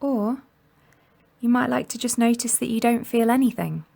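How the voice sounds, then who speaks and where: A woman guiding a meditation in a gentle, soothing and unhurried manner, leaving long pauses between instructions. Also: a little high, creaky. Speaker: mindfulness meditation guide. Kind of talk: guided body scan meditation narration.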